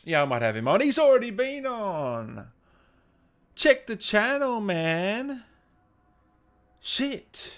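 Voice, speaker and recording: Slow-paced man hosting a casual livestream. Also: sits high, huge pitch swings, a bit bright. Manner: informal, matey, joking, often addressing viewers directly. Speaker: livestream host reading viewer comments. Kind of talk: casual livestream chat with viewers.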